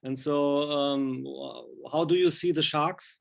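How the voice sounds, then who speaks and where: A man asking casual interview questions in halting, English. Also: a little high, fairly even intonation, vocal fry. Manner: halting, non-native English phrasing.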